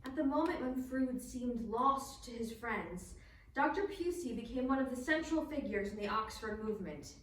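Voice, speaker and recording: A woman narrates history from a script in a formal, measured manner. Her slightly high voice sits away from the microphone in a very reverberant space with faint noise. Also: expressive, a bit bright. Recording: distant, very echoey, faint background noise.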